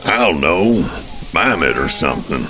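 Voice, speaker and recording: An actor playing a gruff, folksy alien man in an audio drama, with laconic, slangy talk. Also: a bass voice, sing-song, moderate pace, bright, forceful tone, full character voice, rough recording. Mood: teasing, gruff. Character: gruff alien man, folksy and teasing, breathes through a tube.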